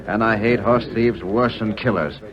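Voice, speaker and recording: A man's voice: lowish voice, moderate pace, natural voice. A man playing a proud, blunt sheriff with an American Western accent, in 1930s radio acting. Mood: defiant. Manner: blunt folksy cowboy talk. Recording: dull old radio, crowd behind.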